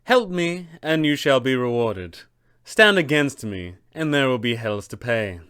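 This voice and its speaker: A man's voice: medium pitch, big pitch swings, a little slow, a bit bright, smooth, dry recording, studio quality. A man voicing an arrogant, sour tiefling sorceress with an imperious, clipped delivery.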